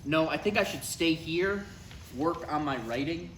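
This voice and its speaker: A man's voice: on the high side, animated, a little slow, a bit bright. A man playing a dry, skeptical, easily exasperated adult son in a live comedy table read. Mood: awkward, skeptical. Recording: echoey live room, faint noise.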